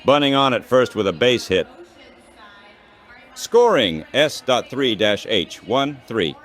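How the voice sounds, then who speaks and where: A man calling baseball play-by-play as an announcer, in short, measured phrases. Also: medium pitch, very animated, fairly bright tone.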